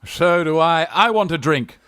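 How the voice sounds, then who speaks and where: A woman with a British accent playing a flustered young gentleman who poses as a rich old aunt in a theatrical Victorian farce. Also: low-pitched, lively intonation, measured pace, clear, resonant tone, no echo. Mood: indignant. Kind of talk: Victorian stage farce, radio play acting.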